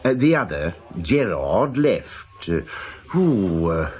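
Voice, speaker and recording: Low, unhurried English caretaker. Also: male voice, big pitch swings, darkish tone, full character voice. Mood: gossipy. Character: chatty, gossipy older caretaker and odd job man, guarded. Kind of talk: naturalistic British radio drama acting.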